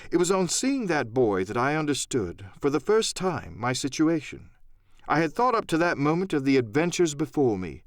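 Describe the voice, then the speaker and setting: A man narrating a first-person adventure story as a dramatic audiobook, expressive and theatrical. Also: fairly high pitch, moderate pace, no echo, studio quality.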